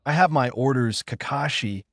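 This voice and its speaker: A man's voice: mid-range voice, normal speed, a bit bright, dry recording, clean studio sound, pitched above the performer's own voice. A man reading the part of a commanding young male ninja fighter in a story, terse and authoritative.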